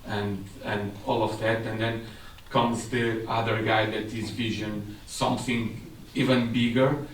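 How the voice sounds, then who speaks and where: A man's voice: fairly low pitch, flat delivery, a little slow, a little hiss or hum. Portuguese-accented man presenting in an echoey hall. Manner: explanatory, slightly non-native phrasing. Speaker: technical specialist presenter. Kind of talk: conference presentation. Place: seminar room with a large audience. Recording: echoey room from far off.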